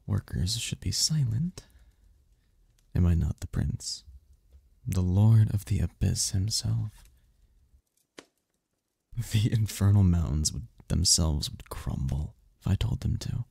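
A man playing a seductive, imperious demon prince in an ASMR romance roleplay, teasing and possessive, with a husky, slow, theatrical purr. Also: sits low, animated, warm tone, no echo, full character voice. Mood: amused, flirtatious, then proud, commanding. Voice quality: gravelly, husky, often breathy whisper. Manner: slow, purring, theatrical, commanding.

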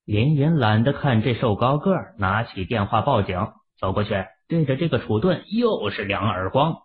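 A man's voice: medium pitch, expressive, normal speed. A man narrating a novel as a scripted, dramatic audiobook reading. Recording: tinny, narrow-band phone-like audio.

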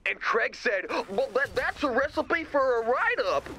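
An actor playing an excitable, gullible, earnest young man in an animated video game, melodramatic in delivery. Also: extremely high pitch, unhurried, slight background noise, exaggerated voice. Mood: indignant.

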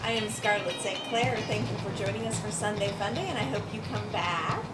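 A woman's voice: medium pitch, a little fast. A young woman co-hosting a cocktail show in a bar, rowdy and cheeky, cheering the others on. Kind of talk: playful bar-side chat. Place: dim bar, indoors. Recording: echoey bar, distant mic, loud music.

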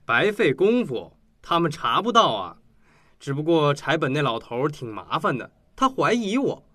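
An actor playing a cynical teenage high school boy in a radio drama, mocking and flippant. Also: very animated, moderate pace, bright, forceful tone, no room sound, exaggerated voice. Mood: flippant, scornful.

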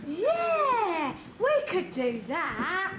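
Someone doing a dopey timid panto gangster, super high voice, slow, echoey noisy hall. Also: wildly swooping pitch, heavily acted. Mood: agreeable. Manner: slow-witted, hesitant. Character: dim, timid, agreeable comic gangster sidekick. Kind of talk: amateur stage pantomime comedy.

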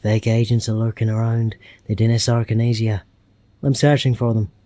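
A man playing a mock-polite, quietly threatening secret agent in a science fiction audio drama. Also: fairly low pitch, flat delivery, normal speed, dry recording, lightly acted. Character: smooth, coolly insolent male secret agent.

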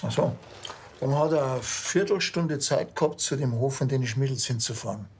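Creaky, laconic Bavarian policeman played by a man. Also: mid-range voice, normal speed, a little hiss or hum, natural voice. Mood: dry, matter-of-fact. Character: laconic, dry small-town policeman. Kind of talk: TV crime comedy acting.

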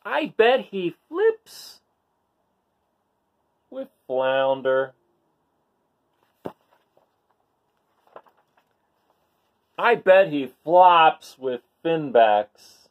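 A man's voice: fairly high pitch, big pitch swings, very slow, narrow, tinny audio, heavily acted. Man voicing a bored, drawling, grumbling barnacle. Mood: envious, sarcastic. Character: bored, grumbling, envious barnacle from a picture book. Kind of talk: storybook read-aloud.

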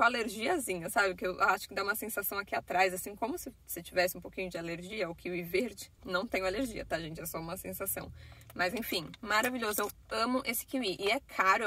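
Chatty young Brazilian woman, bright voice. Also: medium pitch, slightly rough. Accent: Brazilian Portuguese. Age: young adult. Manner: chatty, talking with her hands, many fillers like "né" and "assim". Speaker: vlogger. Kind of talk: casual vlog chat to camera. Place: inside a parked car. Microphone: phone camera at arm's length.

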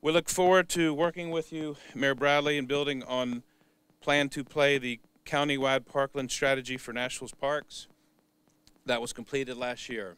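A man's voice: mid-range voice, steady tone, moderate pace, a bit bright, clear, resonant tone, no echo. An older American man, a board chair, reading prepared public remarks at a podium. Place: open parking lot outdoors. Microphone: podium microphones.

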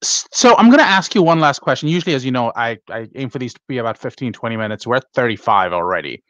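A man's voice: mid-range voice, expressive, fast, a bit bright, no echo, highs cut off. A man hosting an interview over a video call, asking questions in a friendly conversational way. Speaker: host. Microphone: headset.

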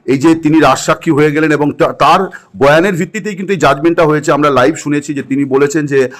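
A man's voice: on the high side, fast. A man conducting a news interview by phone, asking in a probing, pressing manner.

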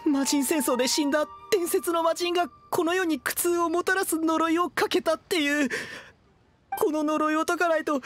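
A man's voice: extremely high pitch, fairly bright tone. An actor playing a timid, frightened, polite young man in an anime-style game. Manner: anxious, polite.

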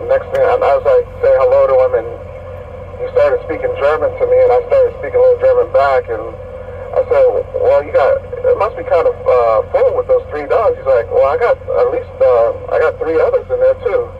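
Trucker guy on cb radio, dull distant speaker, casual storytelling, medium pitch. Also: a little fast. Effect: CB radio transmission. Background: faint vehicle noise.

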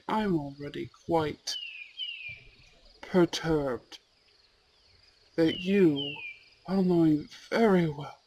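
A woman acts out a possessive young school prince in an amateur ASMR roleplay. The delivery is slow, formal and imperious, with haughty disdain. Faint nature ambience runs behind the voice. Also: sits low, darkish tone, a little hiss or hum. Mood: cold, impatient.

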